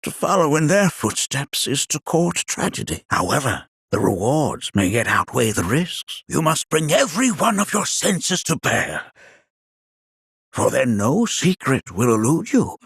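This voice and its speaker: A man playing a whimsical, absent-minded elderly scholar in a video game, raspy and creaky, speaking in formal, old-fashioned phrases. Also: mid-range voice, very animated, measured pace, fairly bright tone, no echo, studio quality, exaggerated voice. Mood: grave, advising. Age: older. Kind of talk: video game character acting.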